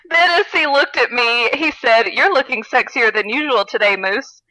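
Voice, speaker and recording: Bright, higher-voiced woman podcast host. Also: no room sound, low-quality recording. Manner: giggly, amused, wry. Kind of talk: comedic read-aloud of fan fiction with casual chat.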